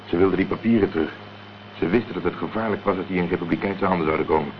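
A man's voice: deep voice, fairly even intonation, racing, natural voice. A man acting a cool, determined amateur investigator in a Dutch radio crime drama, speaking tersely and matter-of-factly. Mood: analytical. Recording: dull, noisy old radio play recording.

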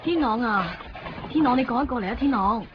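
An actress playing an anxious, sentimental young shopkeeper with a Hong Kong Cantonese accent in a radio drama. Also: female voice, fairly high pitch, animated, brisk, noisy background, close to an everyday voice. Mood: anxious, pleading. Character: anxious, sentimental young woman shopkeeper named Chui-bik. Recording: dull 1990s radio, loud music behind. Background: music.

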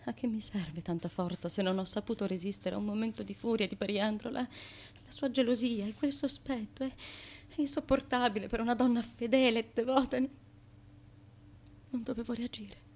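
An actress playing a fragile, bewildered, devoted wife of an ancient tyrant in a radio play, moving between hushed and insistent delivery. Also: female voice, a little high, steady tone, moderate pace. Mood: anguished. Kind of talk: Italian radio theatre acting.

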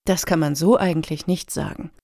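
An actress as an earnest female activist in a satirical radio play. Her voice sits fairly low. She is chatty, quibbling and a little awkward as she bids for a grant. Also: steady tone, fairly quick, warm tone, lightly acted.

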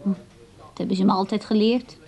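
A woman's voice: mid-range voice, steady tone, a little fast, vocal fry, darkish tone, natural voice. A woman playing a blunt, cheeky young girl in a radio play, with a teasing way of talking. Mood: agreeable, coy.

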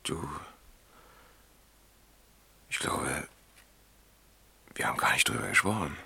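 Ein Schauspieler spielt im Hörspiel einen aufgewühlten, angetrunkenen Ehemann in natürlichem Dialogton. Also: male voice, rumbling low, sing-song, slow pace, creaky, bright, forceful tone, breathy edge, in a whisper, heavily acted. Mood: guarded, tired. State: tipsy. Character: troubled, tipsy married man, adult, easily upset. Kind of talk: naturalistic German radio drama dialogue.

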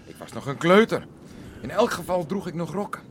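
A man's voice: a little high, lively intonation, a little fast, natural voice. An actor playing a blunt, bossy old island grandfather in a Dutch radio play. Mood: reminiscing. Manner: blunt, domineering. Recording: slightly noisy old radio play recording.

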